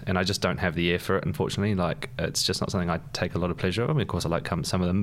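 Very deep-voiced New Zealand man. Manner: laid-back, rambling, laughs easily, many ums. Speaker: podcast guest, musician. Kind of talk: casual conversation.

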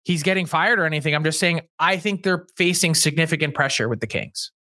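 A man co-hosting a casual sports podcast, thinking out loud and weighing his picks. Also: on the high side, fairly quick, no echo, studio quality. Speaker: podcast co-host.

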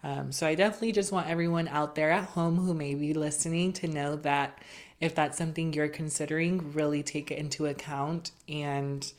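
A young woman as an interview guest, talking in an earnest, measured way with many fillers. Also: sits low, fairly even intonation. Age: young adult. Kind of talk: casual interview chat.

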